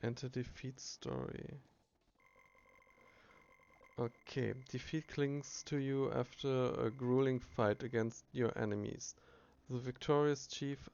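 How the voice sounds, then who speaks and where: Brief: slow, gravelly male streamer reading game text aloud, halting with fillers, dull lo-fi sound. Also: mid-range voice, darkish tone. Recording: dull lo-fi recording.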